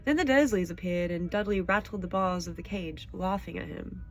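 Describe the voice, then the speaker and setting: A woman's voice: lowish voice, moderate pace, vocal fry, slight background noise. A woman reading stories aloud expressively and chatting casually about them. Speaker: audiobook reader and show host. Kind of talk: expressive storybook narration, then casual chat with a child listener.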